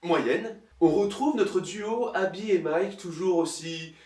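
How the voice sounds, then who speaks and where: A man's voice: sits high, slow, a little mellow. A man narrating a sarcastic parody film review in a mocking, theatrical manner. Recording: roomy, distant mic, faint noise.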